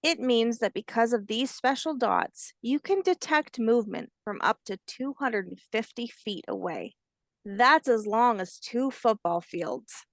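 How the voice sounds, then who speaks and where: A woman voicing a kindly, patient old grandmother alligator, telling facts in a gentle storybook manner. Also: a little high, animated, measured pace, no room sound. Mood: proud, instructive. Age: older.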